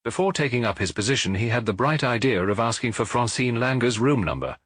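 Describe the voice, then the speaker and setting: A man's voice: mid-range voice, expressive, brisk, a bit bright, dry recording. A man reading a detective novel aloud as an audiobook narrator, in a scripted literary style.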